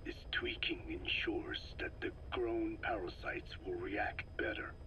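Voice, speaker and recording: An actor playing a frail, soft-spoken scientist in a video game, explaining slowly and formally in a breathy rasp. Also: male voice, fairly low pitch, in a whisper, dull audio. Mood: patient, scholarly. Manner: slow, formal, measured, scholarly phrasing. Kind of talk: video game voice acting, recorded interrogation.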